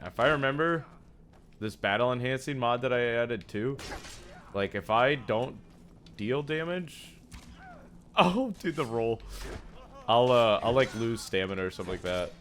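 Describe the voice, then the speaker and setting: A young man with a raspy, sore-sounding voice, casually commenting on his gameplay, often breaking into excited yelps between low mumbles. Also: a little slow, pauses often, a little hiss or hum. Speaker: gaming YouTuber.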